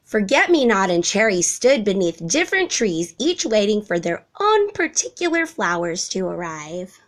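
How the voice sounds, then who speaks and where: Female storybook narrator for young children, expressive and varied in pitch. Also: moderate pace. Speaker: storybook reader. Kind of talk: expressive children's read-aloud.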